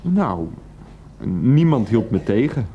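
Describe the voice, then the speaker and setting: An actor playing an evasive, petty, defensive husband in a Dutch radio play. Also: male voice, mid-range voice, big pitch swings, slow, highs cut off, a little hiss or hum. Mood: evasive, irritated.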